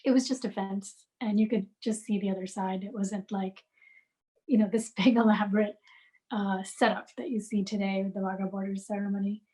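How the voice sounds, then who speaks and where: A woman's voice: mid-range voice, fairly even intonation, dark tone. Thoughtful woman recalling her childhood. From India. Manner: thoughtful, unhurried storytelling. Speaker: guest, archive founder. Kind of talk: interview answers, reminiscing.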